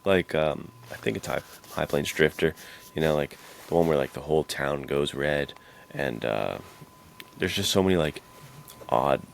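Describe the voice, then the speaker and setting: Extremely deep, gravelly man chatting casually. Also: moderate pace, a little hiss or hum. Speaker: podcast host. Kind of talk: casual chat about western films.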